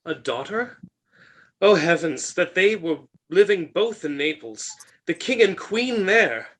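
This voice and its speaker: A man's voice: high voice, expressive, unhurried, fairly bright tone, full character voice. A man reading the part of a grieving, bewildered shipwrecked king in an unrehearsed Shakespeare play, with dramatic declamation.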